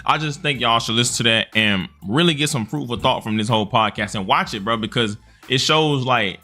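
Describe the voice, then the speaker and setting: Male commentator, quick and bright-voiced, casual and slangy, earnest about his opinions. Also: medium pitch, faint music behind.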